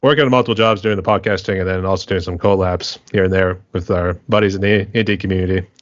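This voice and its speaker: A man's voice: sits low, steady tone, fast talker, no echo, muffled recording. An American man hosting a podcast, asking loose, rambling questions in a casual chat. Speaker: podcast host.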